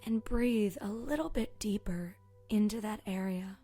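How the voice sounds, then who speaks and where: Slow, mellow woman guiding a meditation. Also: mid-range voice. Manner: soft, soothing, gentle, with long pauses. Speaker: yoga and meditation teacher. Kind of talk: guided meditation narration. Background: soft meditation music with singing bowl tones.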